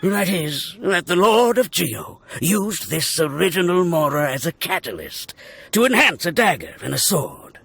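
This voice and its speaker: Pompous, animated male archaeologist. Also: high voice, a little slow, creaky, breathy edge, full character voice. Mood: grandly boastful. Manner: pompous, declamatory. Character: pompous, self-assured male archaeologist, theatrical and boastful. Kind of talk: video game character acting.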